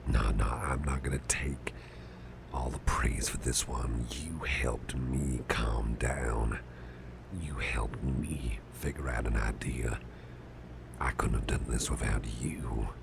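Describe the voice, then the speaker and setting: A man playing a big, muscular but softened grumpy boss in an ASMR roleplay, speaking in a gravelly, breathy near-whisper with a gentle, slightly formal manner. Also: rumbling low, background noise, exaggerated voice. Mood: grateful, reassuring. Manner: slow, murmuring, gently reassuring, slightly formal.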